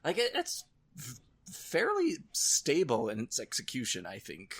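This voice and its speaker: A man's voice: medium pitch, wildly swooping pitch, measured pace, a bit bright, husky. A man co-hosting a podcast in casual conversation, with an informal, wry, self-deprecating way of talking. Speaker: podcast co-host. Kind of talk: casual chat about nightmares and horror films.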